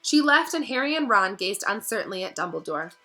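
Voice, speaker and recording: A woman narrating a novel aloud in an expressive storytelling style. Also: medium pitch, brisk. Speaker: reader, narrator. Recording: music behind the voice.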